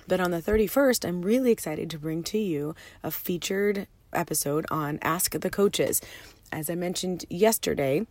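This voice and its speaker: Hoarse woman podcasting from her minivan. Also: on the low side, moderate pace, darkish tone, no room sound. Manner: upbeat and chatty, with fillers and restarts. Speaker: podcast host and money coach. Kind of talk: solo podcast monologue. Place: parked minivan.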